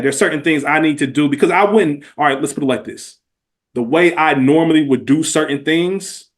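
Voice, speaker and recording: A man with an American accent talking earnestly and casually in slangy, reflective podcast conversation. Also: fairly high pitch. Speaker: podcast guest or co-host.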